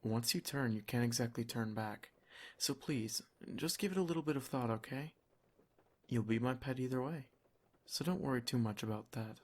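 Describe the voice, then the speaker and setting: Male vampire lord for ASMR roleplay, acted by a man: soft-spoken, possessive and protective, medium pitch, measured and gently commanding. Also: close to an everyday voice. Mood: teasing, reassuring. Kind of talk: ASMR roleplay, scripted intimate monologue.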